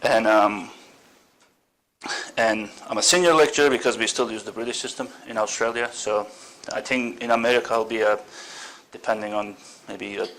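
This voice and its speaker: A man's voice: fairly low pitch, fairly even intonation, normal speed, pauses often, a bit bright, rough recording. A middle-aged man from Turkey giving an informal academic seminar talk, hesitant with many fillers and self-deprecating asides. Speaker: seminar speaker, senior lecturer. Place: seminar room.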